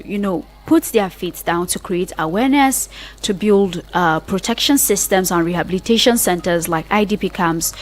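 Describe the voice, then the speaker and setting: A woman with a Nigerian accent presenting a live radio discussion as the host, in a measured, explanatory way. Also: medium pitch.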